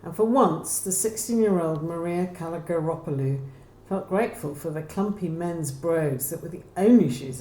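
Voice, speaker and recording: Low, mellow woman reading aloud. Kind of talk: scripted narration, reading a novel prologue. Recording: roomy echo, faint background noise.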